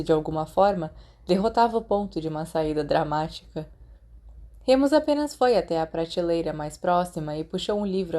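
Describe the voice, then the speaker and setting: A woman's voice: fairly low pitch, dark tone. A woman with a Brazilian accent reading scripted story narration as a podfic narrator.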